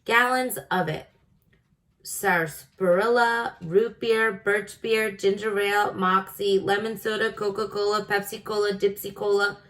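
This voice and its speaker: A woman's voice: mid-range voice, a bit bright, natural voice, poor audio. Friendly small-town storekeeper read by a woman. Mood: obliging.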